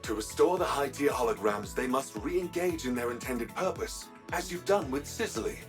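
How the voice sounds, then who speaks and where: A man playing a polite, formal ship's machine intelligence in a video game, speaking in measured, courteous phrases. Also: medium pitch, lively intonation, far from the mic, soft background music, close to an everyday voice. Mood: courteous, composed. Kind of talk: video game cutscene acting.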